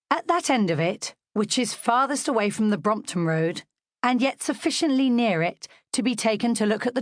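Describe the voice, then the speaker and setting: A British woman narrates a storybook as a scripted audiobook. Her voice sits at a middling pitch, and the recording is dry, with very little room around it. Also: no echo.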